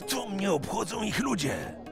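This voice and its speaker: Man acting a gravelly, volatile camp boss. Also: sits high, wildly swooping pitch, a little slow, heavily acted. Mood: gruff, dismissive. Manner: gruff, dismissive. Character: gruff, grudging, temperamental camp boss. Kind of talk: fantasy audio drama acting. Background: music.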